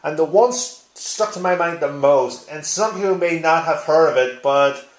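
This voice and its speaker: A man talks casually to his viewers in an echoey living room. His pitch sits in the middle range, and his manner is informal and blunt, like someone recording a home vlog. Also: normal speed. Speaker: video blogger. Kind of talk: casual tag-response vlog chat.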